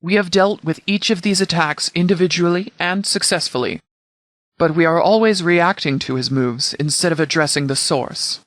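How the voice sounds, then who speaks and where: Game sheriff played by a male actor: disciplined, protective leader, slightly high male voice, measured military briefings, very dry recording. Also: a bit bright, no room sound, lightly acted. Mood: grave, resolute. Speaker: sheriff and commander. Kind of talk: scripted video game dialogue.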